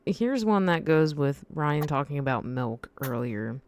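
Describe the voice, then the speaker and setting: A woman's voice: sits low, very animated, measured pace, a little mellow. A woman co-hosting a casual podcast, reading out fun facts in a chatty way, with lots of fillers and restarts.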